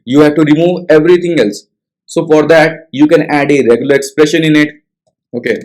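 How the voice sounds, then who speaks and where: A man's voice: fairly high pitch, steady tone, a little fast, a little mellow, rough recording. A young man with an Indian English accent walking through a coding tutorial, talking casually and checking in with frequent tag questions. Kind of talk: screen-share coding tutorial.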